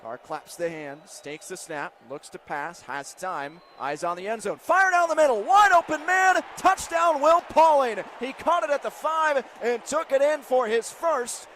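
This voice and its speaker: A man with an American accent calls live football on radio as play-by-play announcer, with a dramatic delivery moving between measured detail and excited calls. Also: very high-pitched. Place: stadium broadcast booth. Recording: live stadium radio broadcast, loud crowd noise. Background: loud stadium ambience.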